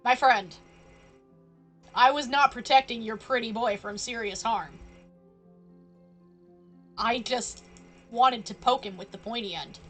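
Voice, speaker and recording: A woman giving casual, snarky commentary on a live game stream. Her voice is fairly high and she takes her time, with soft game music playing behind her. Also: measured pace, long pauses. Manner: snarky, talks back to characters.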